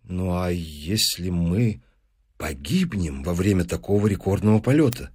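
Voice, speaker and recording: Guy doing a nervous doubtful professor in an audiobook, quiet and halting, kinda low voice. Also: sing-song, husky, full character voice. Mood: anxious, hesitant. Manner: halting, quiet, heavy-hearted. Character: anxious, hesitant male professor, subdued and doubtful. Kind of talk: audiobook character dialogue.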